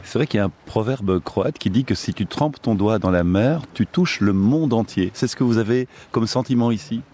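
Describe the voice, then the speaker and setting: A man with a Belgian French accent hosting a radio interview, asking measured questions with pauses. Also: fairly low pitch, warm tone, slight background noise. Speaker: radio host.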